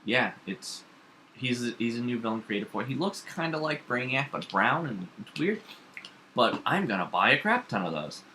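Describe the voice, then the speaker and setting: A man chatting casually about comic books, rambling and animated, with lots of fillers and restarts. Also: mid-range voice, normal speed, a little hiss or hum. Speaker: comics podcast co-host. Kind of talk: casual chat.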